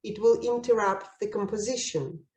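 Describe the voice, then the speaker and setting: A woman with a Russian accent answering interview questions thoughtfully, pausing and restarting often. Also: medium pitch, darkish tone, roomy sound. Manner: thoughtful, hesitant with frequent fillers and restarts. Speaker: painter interviewee.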